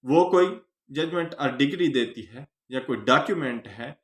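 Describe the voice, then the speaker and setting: A man's voice: medium pitch, normal speed. A man with a Pakistani accent giving an instructional law lecture, didactic and emphatic, posing questions and answering them with English terms mixed in. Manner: didactic, emphatic stress on phrases, mixes in English legal terms. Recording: roomy, echoing voice recording.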